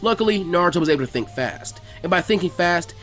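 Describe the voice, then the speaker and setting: Animated man narrating a story, higher voice. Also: fast, soft background music. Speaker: fan fiction reader.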